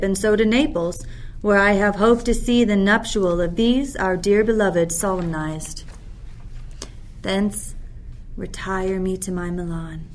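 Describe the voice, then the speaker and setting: A woman playing a commanding exiled duchess and mother in a Shakespeare radio play, speaking formally and deliberately. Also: medium pitch, lightly acted. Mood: gracious, solemn. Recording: noisy recording with ambient sound texture.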